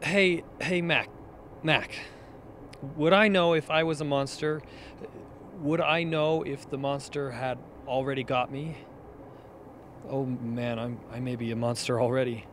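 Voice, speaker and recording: A man plays a scared, pleading male expedition member in a radio drama. He speaks slowly in a mid-pitched, faltering voice, with slight background noise. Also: fairly even intonation, a bit bright, lightly acted. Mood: frightened. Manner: faltering, repetitive. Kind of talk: radio play acting.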